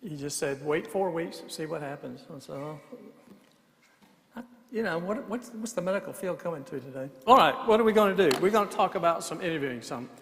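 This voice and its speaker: A man with a raspy voice giving a talk as guest interview trainer, with dry humor. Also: a little high, lively intonation, a little fast, slight background noise. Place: event hall. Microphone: lavalier. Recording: reverberant event hall.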